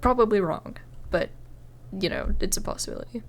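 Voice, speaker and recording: A woman's voice: medium pitch, fairly quick. A woman gaming creator giving informal, reactive let's play commentary.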